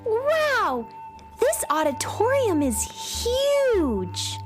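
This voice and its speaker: Young space cowgirl for kids' radio: extremely high, girlish, earnest, very expressive. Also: very slow, soft background music, full character voice. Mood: agreeable, awed. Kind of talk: children's radio drama acting.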